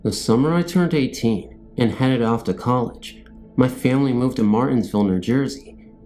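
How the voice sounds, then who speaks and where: A man's voice: mid-range voice, moderate pace, mellow, soft background music. A man reading scary stories as a narrator, in a scripted storytelling style.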